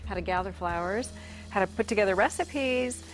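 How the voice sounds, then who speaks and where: A woman floral designer as a TV guest, calm and matter-of-fact about her craft. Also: medium pitch, moderate pace, soft background music, rough recording. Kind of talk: studio interview guest. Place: TV studio set.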